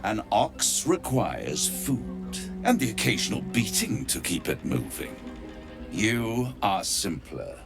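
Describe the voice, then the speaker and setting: Casting: silky, grandiloquent video game devil, smug tempter, slow delivery with gravelly edge. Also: male voice, on the low side, wildly swooping pitch, music in the background, full character voice. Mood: mocking, persuasive. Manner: grandiloquent, taunting, savours words with dramatic pauses. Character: suave, theatrical male devil dealmaker, smug and menacing. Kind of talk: video game character acting.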